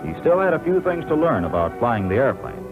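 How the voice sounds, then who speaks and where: An actor playing a seasoned, avuncular American air force narrator in a 1940s training film, with a wry, folksy, knowing manner. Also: male voice, mid-range voice, sing-song, a little fast, over music, close to an everyday voice. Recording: tinny old film soundtrack.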